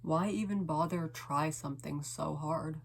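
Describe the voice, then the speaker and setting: Female poet, low register, unhurried dramatic delivery with hushed, lingering phrasing. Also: vocal fry, slight background noise. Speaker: poet reading own poem. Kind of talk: slow dramatic poetry reading.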